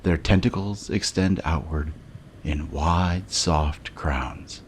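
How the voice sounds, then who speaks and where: A man narrating a scripted guided meditation in a measured way, with long pauses between short phrases. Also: a bass voice, a little hiss or hum.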